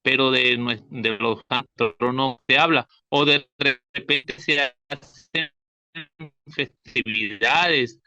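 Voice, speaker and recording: A man's voice: medium pitch, steady tone, takes it slowly, bright, forceful tone, low-quality recording. A man from Venezuela with a Venezuelan accent, a teacher commenting spontaneously, hesitant and repeating himself as he searches for words. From Táchira, Venezuela. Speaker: school religion teacher.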